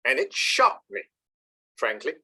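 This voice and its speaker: An older man from Malaysia speaks with a husky, bright tone. His pitch rises and falls a lot as he talks. He gives an emphatic talk about meditation, often pausing on "uh" and asking "all right?". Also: big pitch swings. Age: 70s. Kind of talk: talk with slides.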